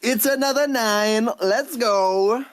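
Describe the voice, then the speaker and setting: A man's voice: very high-pitched, slow. A man player in a tabletop game, talking in a playful, laid-back way.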